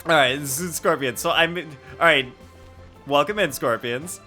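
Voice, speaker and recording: A man's voice: fairly high pitch, normal speed, bright, forceful tone, faint music behind. A man doing casual live commentary as he streams a video game, playful, joking and mock-argumentative.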